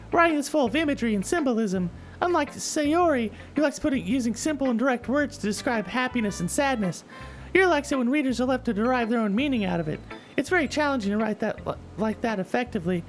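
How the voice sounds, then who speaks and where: Woman voicing a high, cheery teenage club girl. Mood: encouraging. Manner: cheery, chatty, gently coaching. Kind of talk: visual novel character dialogue read aloud. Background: soft background music.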